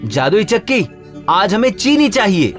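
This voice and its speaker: A humble and hopeful village husband from a cartoon folktale, played by a man. His earnest voice has a very lively melody, with music clearly playing behind it. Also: high-pitched, measured pace. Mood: reassuring. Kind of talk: cartoon voice acting.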